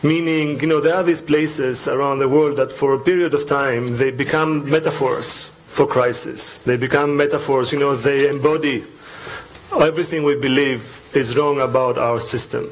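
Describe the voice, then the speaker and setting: A man's voice: medium pitch, steady tone, normal speed, rough recording. Greek-accented man speaking on a panel. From Greece. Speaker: panelist, academic. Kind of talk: informal academic talk. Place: public panel event in a library.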